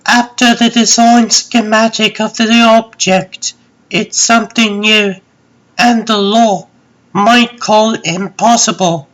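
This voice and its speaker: A woman's voice: a little high, steady tone, slow, rough recording. A woman playing a composed, clever young scientist in a comic dub, speaking precisely and formally. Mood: earnest, insistent.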